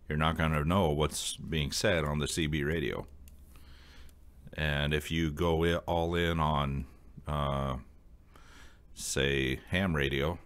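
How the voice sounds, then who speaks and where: A man with a very deep, low-pitched voice hosts an informal explainer vlog. He addresses viewers directly in a casual, rambling style. His delivery is halting, as if working out each point while he talks. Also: fairly bright tone. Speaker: video host.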